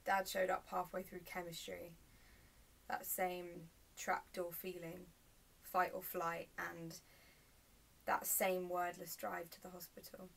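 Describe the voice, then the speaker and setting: Woman acting a halting, confessional narrator, distant mic. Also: fairly low pitch, completely flat delivery, gravelly, a bit bright, exaggerated voice. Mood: pained, subdued. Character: narrator of a stage monologue, recalling painful teenage memories. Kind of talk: naturalistic dramatic monologue. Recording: roomy self-tape, mic at a distance.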